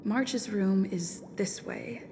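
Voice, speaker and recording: A woman's voice: mid-range voice, slow, darkish tone, faint music behind, natural voice. A woman voicing a thoughtful, earnest young traveler in a video game, speaking in a reflective, understated way.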